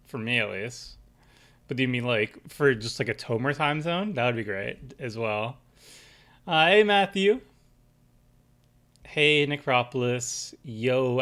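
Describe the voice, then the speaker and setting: A young man hosts a casual live stream, his voice bright and forward at a middle pitch. He rambles along with plenty of ums and restarts. A slight stuffiness from allergies sits in his voice. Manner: rambling, many fillers and restarts, reads chat aloud. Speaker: streamer building a deck with chat.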